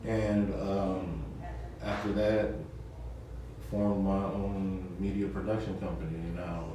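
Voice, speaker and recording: A young man, a media producer, talking casually as an interview guest, pausing with fillers and restarting his thoughts. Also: low-pitched. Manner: relaxed, hesitant, many fillers and restarts. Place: noisy event mixer, echoey room. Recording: echoey room, distant mic, crowd noise.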